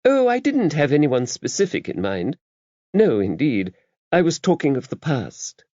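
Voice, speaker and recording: Man voicing a prim librarian nun, lifted pitch. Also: very animated, measured pace, a little mellow, dry recording, highs cut off. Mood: earnest, explanatory. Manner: prim, precise, scholarly. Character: small, demure, earnest woman librarian nun. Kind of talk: audiobook character dialogue.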